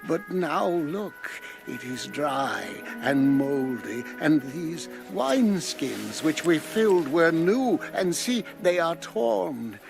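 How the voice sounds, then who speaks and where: A humble, wily envoy pleads in a highish, slow and deliberate voice. He defers like a servant in an audio scripture drama, with clear music playing behind him. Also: big pitch swings, full character voice. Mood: humble, pleading.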